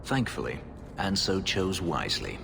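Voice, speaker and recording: A man's voice: deep voice, big pitch swings, a little slow, vocal fry, background noise, full character voice. An actor playing a brooding male elf warrior and escaped slave, speaking in a grave, formal, terse way. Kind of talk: video game dialogue. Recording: game music underneath. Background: game score.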